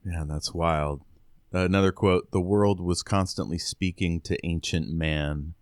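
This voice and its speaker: A man's voice: low-pitched, fairly even intonation, a little slow, no echo, clean studio sound. A man co-hosting a podcast, informally recapping and quoting in a casual book discussion. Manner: informal, conversational. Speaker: podcast co-host.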